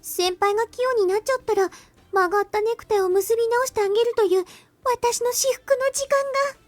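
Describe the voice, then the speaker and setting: A woman playing a cute, playful younger schoolgirl in a visual novel, expressive and pouty. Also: extremely high pitch, very fast. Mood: playful, teasing. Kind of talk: Japanese visual novel voice acting.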